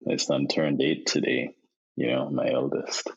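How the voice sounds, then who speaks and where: A Jamaican man in his thirties, a podcast guest, talking in a relaxed way with many fillers and repeated words over a remote call. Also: extremely low pitch, a little slow, creaky, husky. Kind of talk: casual interview chat. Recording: dull lo-fi sound.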